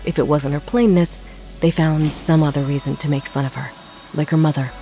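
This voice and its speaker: A woman's voice: low voice, steady tone, brisk, dark tone. A woman giving a scripted narration of a horror audio drama. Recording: music and crowd noise behind narration. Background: music, crowd sounds.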